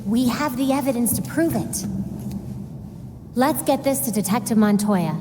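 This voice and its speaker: Woman playing serious young hero girl from a video game, earnest, bit high pitched, big echoey hall. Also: expressive, moderate pace, lightly acted. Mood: determined. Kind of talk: video game cutscene acting. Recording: reverberant hall with clear background noise.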